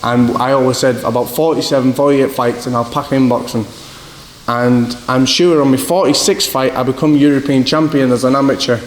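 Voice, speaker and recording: A man's voice: mid-range voice, fairly even intonation, a little fast. A young man from Scotland with a northeast English accent, a boxer telling rambling stories in an interview, often repeating "do you know what I mean". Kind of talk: casual interview answers. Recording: echoey hall with faint background noise.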